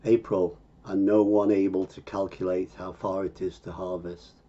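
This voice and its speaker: Male poetry reader, dark and husky, measured delivery, dull lo-fi recording. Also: deep voice, level tone. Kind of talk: slow, measured poetry recitation.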